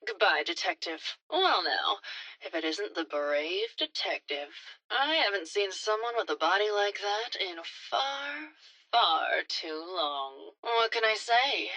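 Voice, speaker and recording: A woman playing a vain, flirtatious faded movie actress in a video game, with grandiose, coquettish, drawn-out phrasing. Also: mid-range voice, bright, forceful tone, no room sound, full character voice, poor audio. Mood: huffy, then flirtatious, coy. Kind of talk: theatrical game dialogue.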